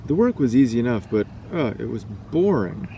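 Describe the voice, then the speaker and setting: A man's voice: mid-range voice, big pitch swings, moderate pace, warm tone, lo-fi sound. A man reading a scary story aloud as a storyteller. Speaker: storyteller and vlogger. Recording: distant mic, noisy background.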